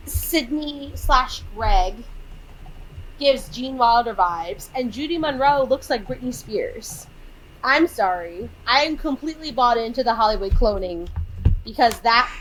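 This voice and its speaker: A woman of thirty chats her way through a film recap on a podcast, voice sitting a little high. She leans into the quotes with exasperated, animated energy. A faint background hiss sits under her. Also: slow pace, rough recording. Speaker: podcast co-host. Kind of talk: casual chat recapping a film.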